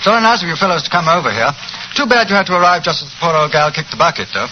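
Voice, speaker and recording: An actor playing a silly, breezy young heir with an upper-class English accent, flippant and scatterbrained, in 1940s radio style. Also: male voice, fairly high pitch, animated, very fast, natural voice. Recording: slightly noisy, muffled 1940s radio recording.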